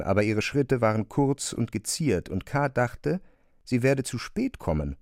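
A man's voice: medium pitch, darkish tone, no room sound, clean studio sound. A man reading scripted literary narration for a radio play.

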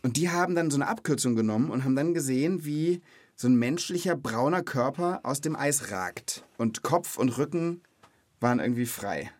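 A man's voice: medium pitch, lively intonation, moderate pace, dry recording. Casual male podcast host, close and dry. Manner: informal, curious, checks back with questions. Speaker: host of a children's knowledge podcast. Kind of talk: casual conversational explaining to a child.

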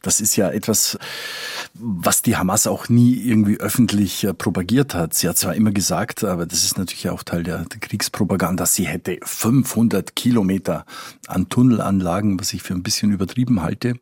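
A man's voice: low-pitched, a little fast, darkish tone, breathy edge, dry recording. A man talking as a correspondent, explaining conversationally, thinking aloud and repeating words for emphasis. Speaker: foreign correspondent, interview guest.

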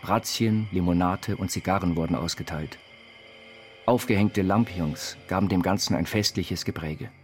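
An actor playing an eccentric self-taught inventor, narrating his life story in measured, quaintly formal phrases for an audio biopic. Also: low voice, lightly acted. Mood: plain letter reading. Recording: studio voice over loud music.

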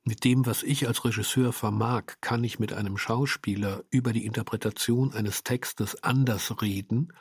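A man with a low, husky voice speaks as a radio drama director. He reflects aloud in emphatic, halting phrases, interview style, in a dry recording. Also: dry recording.

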